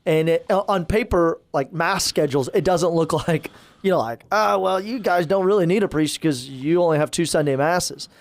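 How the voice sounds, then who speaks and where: A man's voice: on the high side, moderate pace, no room sound. A man, a parish priest chatting casually on a podcast, rambling with lots of fillers and restarts.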